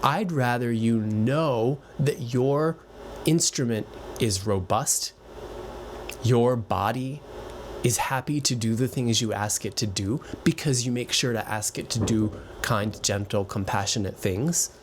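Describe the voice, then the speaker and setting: Guy voice teacher talking to camera, calm and kind, mid pitch, little bit of background hiss. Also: a little slow. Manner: gentle, reassuring, encouraging. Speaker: voice and piano teacher. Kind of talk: direct-to-camera advice talk.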